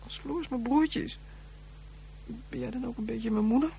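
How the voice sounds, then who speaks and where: A man's voice: very high voice, normal speed, gravelly, warm tone, slight background noise, rough recording. A male actor playing a frightened, accusing young boy in a radio drama, speaking in emphatic, repetitive childlike phrases. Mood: timid, pleading. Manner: childlike emphatic stacked words, repeating himself.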